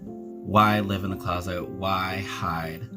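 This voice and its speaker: A man's voice: deep voice, steady tone, takes it slowly, music in the background. A man giving an earnest, encouraging motivational message.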